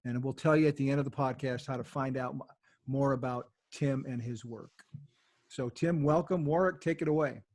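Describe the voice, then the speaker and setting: A man's voice: medium pitch, fairly even intonation, normal speed, a little mellow. Chatty upbeat male podcast host. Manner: upbeat, chatty, addresses listeners directly. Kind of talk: podcast introduction.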